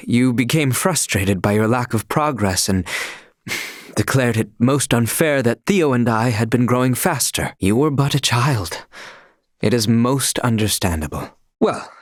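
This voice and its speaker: A man's voice: medium pitch, dry recording, studio quality. An actor playing a loyal young knight in a video game, speaking in a formal, old-fashioned way, dutiful and protective of his princess. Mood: earnest. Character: earnest young male knight, loyal royal guard.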